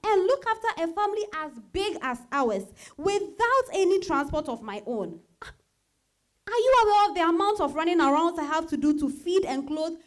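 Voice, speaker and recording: Woman playing exasperated wife, high animated voice. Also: normal speed. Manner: argumentative, emphatic. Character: exasperated, sarcastic working mother quarrelling with husband. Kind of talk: dramatized novel reading.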